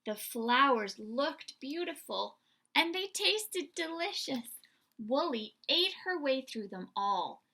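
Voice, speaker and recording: A woman reads a picture-book story to young children. Her voice is fairly high with a husky, creaky grain, and she phrases things expressively and deliberately. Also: big pitch swings, bright, forceful tone. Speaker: children's story reader and host.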